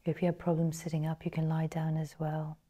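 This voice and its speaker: A woman guiding a meditation in a soft, breathy, partly whispered voice, measured and full of pauses. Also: low voice, monotone. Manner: slow, measured, pausing between phrases. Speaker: meditation guide. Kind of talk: guided meditation narration.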